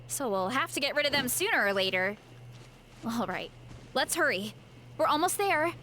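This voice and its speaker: A woman's voice: high-pitched, big pitch swings, normal speed, pushed and bright, a little hiss or hum, full character voice. Breezy young warrior woman. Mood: upbeat. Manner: easygoing, breezy, sudden earnest bursts. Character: cheerful young woman warrior, laid-back but reckless. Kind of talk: video game voice acting. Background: game music.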